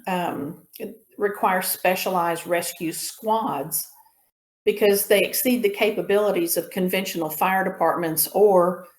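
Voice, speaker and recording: A woman giving an informal slide lecture as an instructor, explaining in a measured way with pauses mid-sentence. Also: mid-range voice. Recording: roomy echo on the voice.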